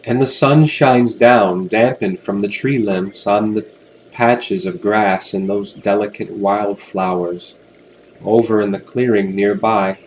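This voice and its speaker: Slow, soothing male hypnosis narrator. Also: on the low side, slight background noise. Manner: soothing, unhurried, gentle delivery with long pauses. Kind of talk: guided hypnosis relaxation narration.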